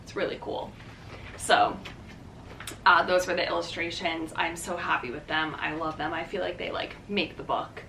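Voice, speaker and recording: A woman's voice: fairly low pitch, steady tone, moderate pace, creaky, pushed and bright, distant mic, slight background noise. A young woman vlogging casually to the camera, chatty and upbeat, with lots of "um" and "like". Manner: chatty and upbeat, many fillers like "um" and "like". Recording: echoey room, voice a bit off-mic.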